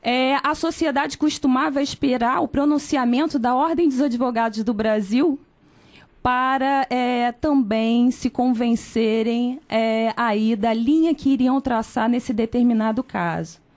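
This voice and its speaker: A woman with a Brazilian accent, a lawyer speaking in a measured, formal way in a radio interview. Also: a little high, a little mellow. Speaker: lawyer and candidate.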